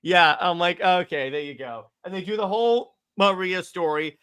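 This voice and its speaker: A man hosting a casual movie discussion, talking in an excitable, emphatic way. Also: high voice, moderate pace, clean tone. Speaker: podcast host.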